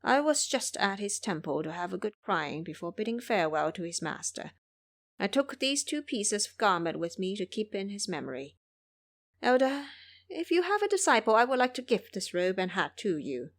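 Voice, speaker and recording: A woman's voice: medium pitch, very animated, moderate pace, no echo, studio quality. A woman voicing a kindly, mysterious old woman in an audio drama, solemn and instructive. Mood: sorrowful, kind.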